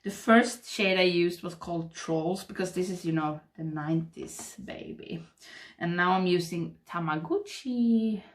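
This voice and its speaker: A woman in her thirties with a Swedish accent, chatting and murmuring softly to the camera while doing her makeup at home. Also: lowish voice, measured pace. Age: 30s. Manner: soft, rambling, murmuring while applying makeup. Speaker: beauty vlogger. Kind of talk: casual get-ready-with-me chat. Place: home makeup room, indoors. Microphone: webcam framing at desk.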